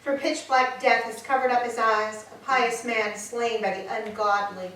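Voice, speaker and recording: A woman performs a sorrowing captive of a tragic chorus, declaiming solemnly in a high voice. The staged reading echoes strongly around the hall, heard from a distance. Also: fairly even intonation, a little slow, fairly bright tone. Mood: mournful. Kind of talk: staged reading of Greek tragedy. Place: church, live staged reading. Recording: echoey hall, distant mic, background noise.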